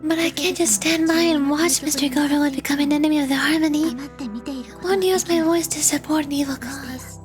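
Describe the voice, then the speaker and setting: A woman voice acting a gentle, principled young singer in a video game, speaking earnestly and formally. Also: high voice, fairly quick, over music, lightly acted. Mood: solemn, resolute.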